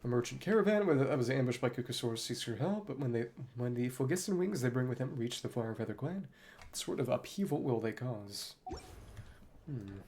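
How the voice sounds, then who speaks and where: A man's voice: mid-range voice, expressive, a little fast, darkish tone. A man streaming a game, musing to himself in short asides and reading dialogue aloud in a casual way. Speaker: gaming streamer.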